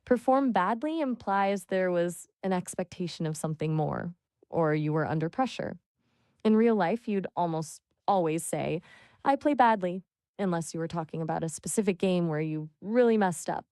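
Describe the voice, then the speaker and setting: A woman's voice: on the low side, lively intonation, normal speed, mellow, no room sound. Dry-sounding, patient female English tutor. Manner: patient, praising, explains clearly. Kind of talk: encouraging language coaching.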